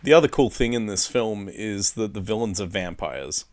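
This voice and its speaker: Low-voiced man explaining a film keenly. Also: moderate pace, darkish tone. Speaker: podcast co-host, film reviewer. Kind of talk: casual film chat.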